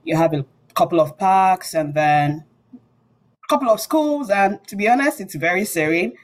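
A woman's voice: mid-range voice, lively intonation, moderate pace. A woman, around her thirties, introducing herself and sharing experience informally as a student panelist.

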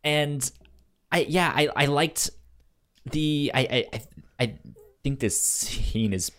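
A man's voice: on the high side, slow, a bit bright. A man co-hosting a podcast in casual chat, stammering and often repeating words. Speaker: podcast co-host.

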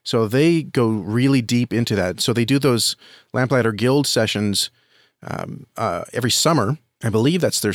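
A man with a middle-pitched voice talks as a podcast guest, explaining and advising in an earnest, conversational way. He often doubles back on his words as he works out an answer. The sound is dry and close, with no room echo. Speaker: podcast guest, audio drama creator and reviewer. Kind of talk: conversational interview answer, giving advice.

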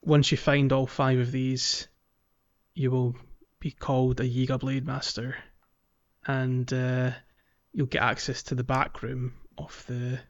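A man's voice: mid-range voice, completely flat delivery, a little slow. Casual male podcast host, mid-range voice, explanatory, slightly muffled lo-fi audio. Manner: explanatory, guiding the conversation with questions. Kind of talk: casual game walkthrough chat.

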